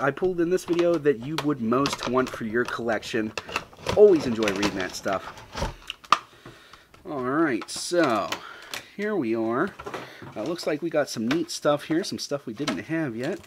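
A man's voice: mid-range voice, slight background noise. A man in his thirties hosting an unboxing video with casual, upbeat commentary full of fillers.

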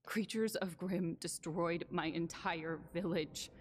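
A woman playing a humble, earnest young villager in an animated fairy tale. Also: fairly low pitch, slow pace. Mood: pleading, grieving.

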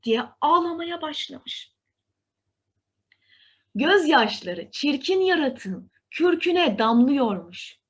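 A woman storyteller reading a scripted fairy tale for children in an expressive, deliberate way. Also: high voice, dull audio.

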